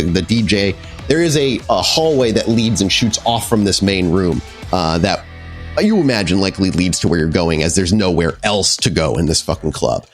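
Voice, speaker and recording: A man narrating a tabletop horror game as storyteller, improvising descriptions in a conversational way with some casual swearing. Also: lowish voice.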